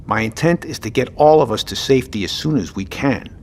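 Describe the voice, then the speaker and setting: A man's voice: medium pitch, lively intonation, a little fast, slight background noise, close to an everyday voice. A man playing a stern, duty-bound military captain in an audio drama. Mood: measured, determined.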